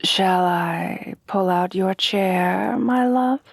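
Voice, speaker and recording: Woman voicing a slow, soft, refined monster bride. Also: mid-range voice, no room sound, studio quality, full character voice. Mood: gentle, doting. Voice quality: soft, breathy, often dropping to whispers. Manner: refined, formal, courtly, tenderly doting. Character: refined, devoted headless horsewoman bride-to-be, adult monster girl. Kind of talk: soft-voiced romantic ASMR roleplay.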